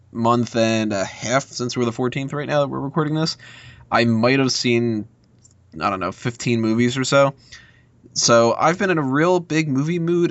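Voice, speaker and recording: A man's voice: mid-range voice, no room sound, highs cut off. A man giving his opinions casually as a panelist on a film podcast.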